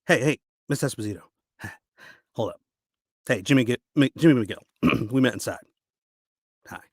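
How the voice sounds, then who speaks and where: A man's voice: medium pitch, expressive, normal speed, vocal fry, husky, no room sound, clean studio sound. An American man performing a dramatic monologue as a scrappy, embittered lawyer urging someone on with emphatic intensity. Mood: earnest, sympathetic. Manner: urgent, emphatic, building in intensity. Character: scrappy, embittered male lawyer giving a defiant pep talk. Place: home basement sound booth.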